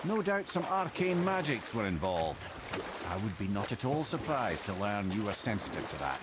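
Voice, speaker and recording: Wry Scottish storyteller, expressive and eloquent. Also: male voice, fairly low pitch, moderate pace. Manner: eloquent, old-fashioned, dramatic storytelling. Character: wry, learned older male storyteller companion. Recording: engine-like ambient noise.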